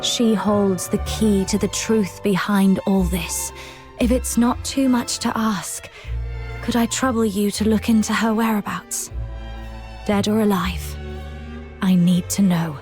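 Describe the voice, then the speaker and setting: An actress playing a weary, guarded freed military prisoner in a video game, terse, subdued and resolute. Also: female voice, mid-range voice, steady tone, measured pace, darkish tone, lightly acted. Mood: earnest, grave. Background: background music.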